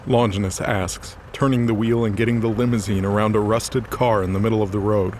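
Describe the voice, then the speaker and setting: A man's voice: lowish voice, steady tone, fairly quick, a little mellow, a little hiss or hum. A man reading scripted narration for an audio drama in a dramatic storytelling style. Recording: faint music behind the voice.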